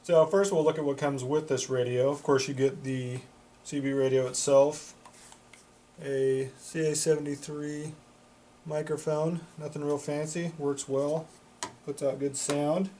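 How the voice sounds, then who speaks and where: A man's voice: on the high side, fairly even intonation, unhurried, mellow, a little hiss or hum. A man giving a casual product demonstration as a reviewer, plain-spoken and matter-of-fact. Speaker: product reviewer for a CB radio shop.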